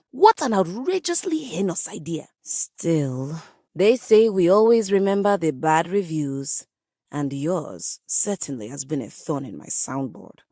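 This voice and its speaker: Theatrical piano diva, low sweeping female voice. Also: darkish tone, slightly rough, no echo, studio quality, heavily acted. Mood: wounded, haughty. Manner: dramatic, haughty, florid formal diction. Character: grand piano come to life, passionate theatrical diva composer. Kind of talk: video game character voice acting.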